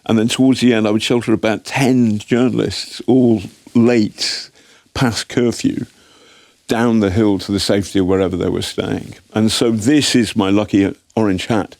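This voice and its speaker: English-accented man, low voice, wry storyteller, frequent restarts, dry studio sound. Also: darkish tone, dry recording. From Britain. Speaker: guest journalist. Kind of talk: anecdotal storytelling in interview.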